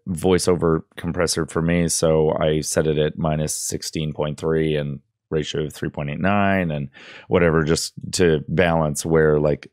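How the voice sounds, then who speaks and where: A young man with a very low voice walks through his setup in a casual, meandering way, stumbling over fillers and restarting sentences. He sits close to a studio microphone in a small room, and the sound is dry, with no room echo. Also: a little mellow. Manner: rambling, many fillers and restarts. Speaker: audio tutorial host. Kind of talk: casual screen-recorded tutorial. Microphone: studio microphone close up, headphones on.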